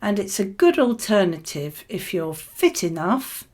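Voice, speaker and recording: A woman reading scripted model answers for a spoken exam, with measured, clearly phrased delivery. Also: medium pitch, big pitch swings, a little mellow.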